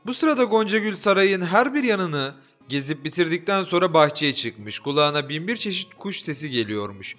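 A man reads a folk tale aloud in a storyteller's way. His pitch sits on the higher side and swings widely from line to line. Soft music plays faintly behind him. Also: normal speed, few pauses. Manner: lively, expressive reading with voiced dialogue. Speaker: audiobook narrator. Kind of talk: storybook narration with character voices.

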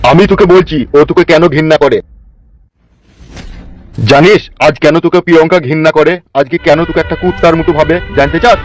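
A man's voice: fairly high pitch, fairly even intonation, a little fast, lightly acted. A man voicing a middle-aged, hot-tempered father in a comedy cartoon, ranting and scolding over music. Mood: furious. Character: furious, foul-mouthed protective village father. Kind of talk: melodramatic comedy cartoon dubbing. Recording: music under the voice.

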